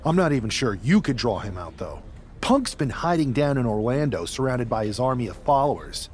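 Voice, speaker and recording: Male, mid pitch, very varied intonation. Actor as skeptical, wry wrestler chatting in a game cutscene. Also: sing-song, a little fast, a little mellow, natural voice. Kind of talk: scripted game cutscene acting.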